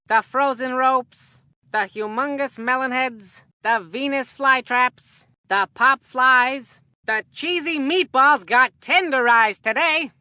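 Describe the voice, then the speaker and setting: An actor voicing an excitable, corny, food-loving kid baseball commentator in a video game, with a hammy, drawn-out announcer delivery. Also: high voice, no echo, studio quality, heavily acted.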